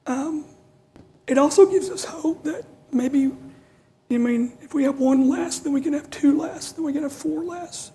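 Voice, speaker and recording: A middle-aged woman answering as a patient on a panel, halting and earnest, repeating words as she searches for them. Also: sits high, warm tone.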